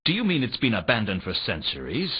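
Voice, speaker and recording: A man's voice: fairly low pitch, huge pitch swings, fairly quick, breathy edge, heavily acted. A man playing a world-weary, dryly sardonic private detective in a noir video game. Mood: dry, inquisitive. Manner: dry, sardonic. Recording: dull, lo-fi game audio.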